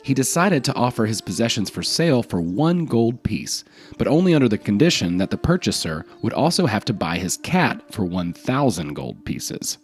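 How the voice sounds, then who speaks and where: A man's voice: fairly low pitch, sing-song, normal speed, soft background music. A man narrating scripted folk tales as a storyteller.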